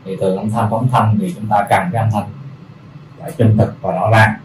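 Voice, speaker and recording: A man presenting products in an unscripted demonstration, explaining in a halting, repetitive way as he searches for words. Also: lowish voice, little pitch movement. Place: indoor room full of audio equipment. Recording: roomy, distant mic, faint background noise.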